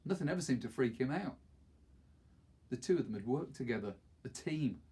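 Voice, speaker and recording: A man in his fifties narrates a story aloud. His voice is husky and a little rough, with an expressive storytelling delivery. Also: mid-range voice, normal speed. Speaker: teacher reading aloud. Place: small wood-panelled study, indoors.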